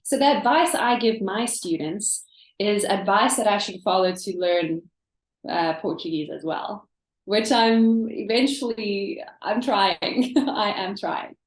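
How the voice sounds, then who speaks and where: South african lady teacher, talks fast and lively, bit of room echo. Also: medium pitch. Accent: South African English. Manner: chatty, animated, frequent fillers. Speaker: English teacher, guest. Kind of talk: casual interview chat.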